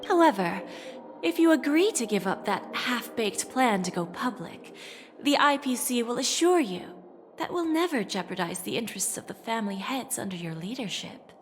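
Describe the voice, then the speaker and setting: A woman playing a shrewd, composed corporate negotiator in a video game, speaking with deliberate, assured phrasing and quiet menace. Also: a little high, sing-song, soft background music. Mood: coolly threatening. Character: cool, shrewd female corporate negotiator, calmly threatening. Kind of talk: dramatic video game voice acting.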